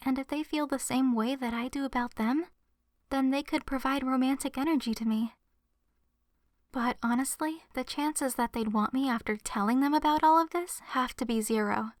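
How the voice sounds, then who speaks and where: High, gentle succubus girl, acted by a woman. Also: no echo, clean studio sound. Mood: hesitant, self-doubting. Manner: soft, earnest, hesitant, confiding. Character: gentle young adult succubus woman, shy lovestruck best friend. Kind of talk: ASMR roleplay, addressed to the listener. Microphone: close microphone in a dry space.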